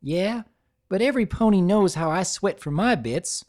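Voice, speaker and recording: Narrator as cartoon country mare, raised pitch, pushy volume, folksy, brash, blunt, taunting, story reading. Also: expressive, normal speed, darkish tone, natural voice. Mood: needling.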